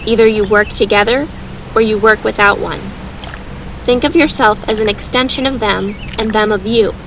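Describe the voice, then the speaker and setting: A woman's voice: medium pitch, steady tone, normal speed, slight background noise, natural voice, rough recording. A woman playing a determined, earnest young female mage leader in an audio drama, calling out firm instructions to a group. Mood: firm, instructing.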